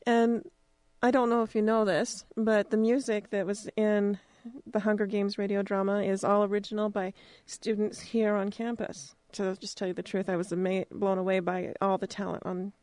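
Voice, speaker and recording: A woman's voice: medium pitch, level tone, normal speed, darkish tone, dry recording. An American woman hosting a casual interview, talking in an informal, chatty way. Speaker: interviewer, radio drama producer.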